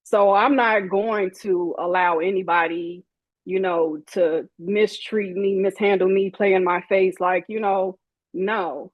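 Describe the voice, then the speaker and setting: A woman's voice: medium pitch, no room sound, highs cut off. A woman in her forties, a guest in an interview, speaking candidly in a sassy, emphatic way and acting out the people she quotes. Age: 40s.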